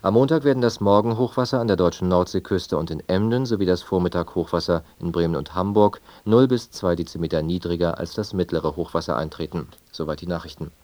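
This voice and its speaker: Low-voiced German newsreader, dry studio sound. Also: male voice, a little mellow, dry recording. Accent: standard German from Germany. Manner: formal, measured delivery. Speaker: radio newsreader. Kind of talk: scripted news and weather bulletin.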